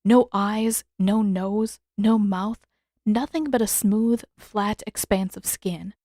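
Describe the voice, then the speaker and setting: A woman narrates a piece of fiction in a scripted storytelling style. Her voice sits at a medium pitch, and the recording is dry and free of room sound. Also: slow pace, warm tone, dry recording. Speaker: narrator, fan fiction author.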